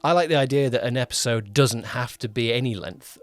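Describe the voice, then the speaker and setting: A man's voice: mid-range voice, fairly quick. Rambling man chatting over music. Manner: rambling, often rewording mid-sentence. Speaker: podcast co-host, audio drama producer-director. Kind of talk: casual behind-the-scenes podcast chat.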